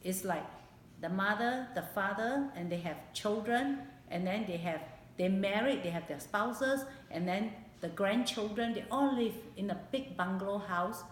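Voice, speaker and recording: A woman in her late fifties with a Singaporean accent recalls her life in a personal interview, speaking haltingly and repeating phrases for emphasis. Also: medium pitch. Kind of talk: personal oral history interview. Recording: echoey room, background hiss.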